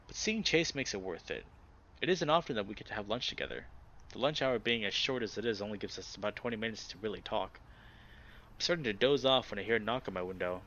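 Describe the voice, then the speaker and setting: A man's voice: medium pitch, muffled recording. A man hosting a let's play, reading a visual novel aloud and commenting casually and expressively. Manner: expressive reactions, swears casually.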